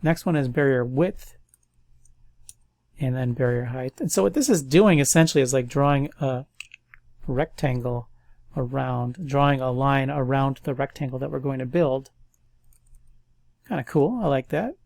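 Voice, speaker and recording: A man's voice: mid-range voice, moderate pace, vocal fry, pauses often, no echo. Stuffy-nosed man walking through code. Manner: thinks aloud, relaxed and chatty. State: stuffy nose. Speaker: coding tutorial host. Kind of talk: casual screen-recorded coding walkthrough.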